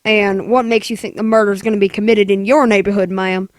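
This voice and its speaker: A woman voicing a gruff, dismissive, weary city police sergeant in a 1940s radio play, with brusque, patronizing delivery. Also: medium pitch, steady tone, brisk, no echo, voice pushed down, close to an everyday voice.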